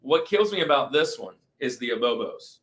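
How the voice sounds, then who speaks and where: A man with a medium-pitched voice hosts a podcast. He chats casually and informally, with an easy enthusiasm for what he is discussing. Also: sing-song, normal speed, fairly bright tone, low-quality recording. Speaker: podcast host. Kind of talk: casual chat on a movie ranking podcast.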